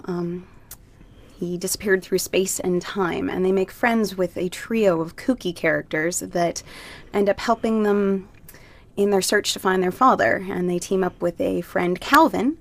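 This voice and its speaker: A woman's voice: medium pitch, moderate pace. Woman actor chatting informally as guest. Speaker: stage actor, interview guest. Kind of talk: casual interview chat.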